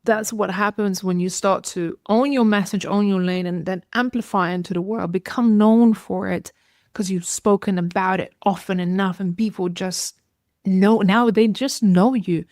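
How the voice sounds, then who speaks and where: Woman podcast host, mid pitch, reflective and affirming, dry sound. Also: steady tone, normal speed, darkish tone, no room sound. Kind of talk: conversational interview.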